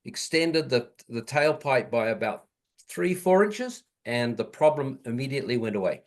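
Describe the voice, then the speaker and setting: A man with an average-pitched voice talks through a problem on a conference call. He is casual but direct, giving matter-of-fact technical advice. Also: big pitch swings, moderate pace. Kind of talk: casual troubleshooting.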